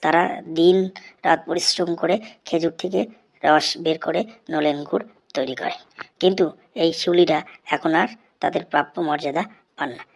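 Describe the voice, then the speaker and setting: Woman playing an eager, cheeky schoolboy, slightly low-voiced. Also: fairly even intonation, normal speed, gravelly, long pauses. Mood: earnest reciting. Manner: deferential, earnest, rambling classroom recitation. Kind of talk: Bengali comedy cartoon voice acting, recitation.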